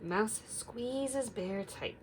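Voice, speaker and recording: A woman teacher reading a picture book aloud to young children, instructive and deliberate, stopping to put questions to them. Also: fairly high pitch, a little hiss or hum.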